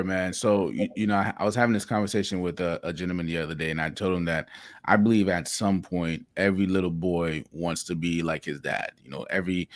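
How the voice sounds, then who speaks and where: A man's voice: low-pitched, dry recording. A man from Haiti, a podcast guest, tells personal stories in an earnest way, repeating words as he starts his sentences. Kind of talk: reflective personal storytelling.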